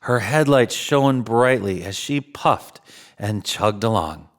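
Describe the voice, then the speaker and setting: A man narrating a children's storybook theatrically, with drawn-out emphasis and chuckles. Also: on the low side, expressive. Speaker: storyteller in Santa persona.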